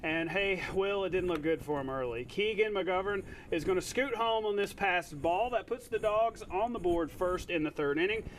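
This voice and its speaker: A man co-anchoring a TV sportscast, narrating highlights in an informal, jokey way with playful banter. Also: normal speed, hardly any pauses, distant mic, slight background noise, rough recording. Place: TV studio.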